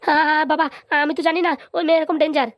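A woman's voice: sits high, level tone, racing, no echo, lo-fi sound, lightly acted. A woman voicing a timid, gullible grown son who stammers and pleads in a comic cartoon. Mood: nervous.

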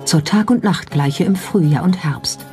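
A documentary narrator reading a script in a measured, explanatory way and posing rhetorical questions. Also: medium pitch, darkish tone. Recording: music behind the voice. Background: clearly audible background music.

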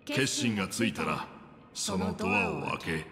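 Deep, gentle, reflective old trailblazer. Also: male voice, big pitch swings. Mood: solemn, gentle. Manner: polite, measured, reflective speech. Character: gentle, polite old male trailblazer looking back on life. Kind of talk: Japanese game voice acting. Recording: long reverb, clear music behind.

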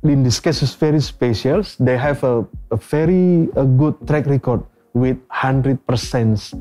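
A man in his fifties with an Indonesian accent, a company executive answering interview questions in halting English full of "uh" fillers and restarts. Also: mid-range voice, dark tone. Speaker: company president director, guest. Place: bright office studio set.

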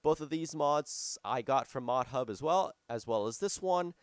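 Hoarse young man rambling over gameplay. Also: medium pitch, animated, normal speed, no room sound, clean studio sound. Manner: rambling, full of fillers and restarts. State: hoarse, losing his voice from a sore throat. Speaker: gaming YouTuber. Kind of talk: casual gameplay commentary. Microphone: desktop microphone.

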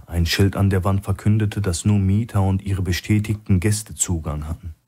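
A man with a husky voice reading scripted thriller narration as an audiobook narrator. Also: deep voice, steady tone, moderate pace, a little mellow.

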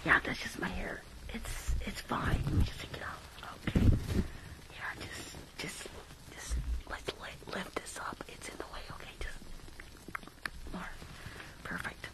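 A woman whispering close to the mic in an ASMR roleplay as a rude dentist, halting and repeating herself with dismissive reassurance. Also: poor audio. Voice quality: breathy whisper with creaky edges.

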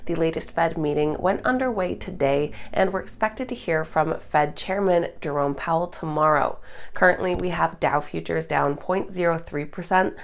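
A woman in her thirties, slightly stuffed up from a cold, reading a brisk, upbeat scripted morning market update as host in a studio. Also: lowish voice, vocal fry. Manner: brisk, upbeat presenter delivery. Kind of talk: scripted market news read. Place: studio, green screen backdrop.

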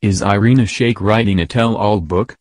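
A man narrating a scripted celebrity gossip piece in a steady, even read. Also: lowish voice, moderate pace, dry recording, clean studio sound.

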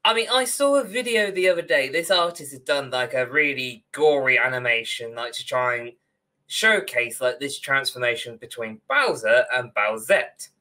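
A man in his twenties or thirties co-hosting a casual podcast over a video call, rambling and animated. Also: medium pitch, moderate pace. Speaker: podcast co-host. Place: small room at home, on a video call.

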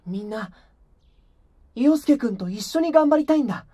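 A high, breathy-edged voice of a gentle teenage boy idol. He is hesitant and sincere, with swooping, expressive lines in the manner of a Japanese anime audio drama. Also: normal speed. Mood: earnest, pleading. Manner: soft, hesitant, sincere delivery. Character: gentle, earnest, shy teenage male idol devoted to friend.

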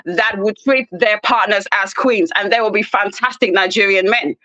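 A woman's voice: mid-range voice, normal speed, pushed and bright, dry recording, lo-fi sound. A woman caller giving her view emphatically, with apologetic asides. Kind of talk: phone-in debate.

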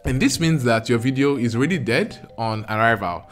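A man's voice: medium pitch, a little fast, soft background music. A young man with a Nigerian accent, explaining step by step in an earnest, emphatic vlog to camera. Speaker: YouTube creator. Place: small home studio room. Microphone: large studio mic close in front.

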